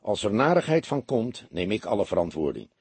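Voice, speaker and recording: A man playing a seasoned police detective in an audiobook, serious and careful, speaking in a measured, earnest way. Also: lowish voice, big pitch swings, vocal fry, a little mellow, husky, dry recording, heavily acted. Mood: grave, resolute.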